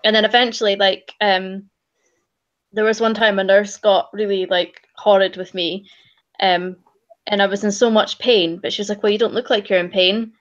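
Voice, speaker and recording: A woman's voice: mid-range voice, normal speed. Young woman, dull call audio. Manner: animated retelling with lots of "like", firm. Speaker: guest host. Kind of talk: casual video-call chat. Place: bedroom at home.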